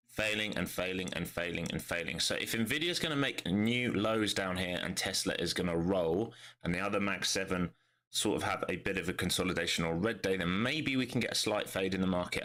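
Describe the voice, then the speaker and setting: A man with a nasal, bunged-up voice from a cold, giving brisk live commentary on the markets and thinking aloud, often tagging his lines with "all right?". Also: sits low, bright, forceful tone. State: congested with a cold. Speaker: day trader streaming live market analysis.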